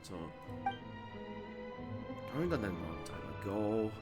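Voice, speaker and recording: A man's voice: lowish voice, big pitch swings, slow. Rambling laughing gamer man. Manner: rambling, laughing, clears throat often. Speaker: gaming streamer. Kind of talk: casual game commentary. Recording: game music behind.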